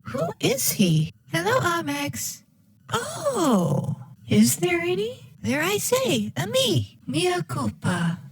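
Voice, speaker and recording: A woman's synthetic voice, very high and swinging widely in pitch. It reads short phrases slowly, in a sing-song text-to-speech manner. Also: huge pitch swings.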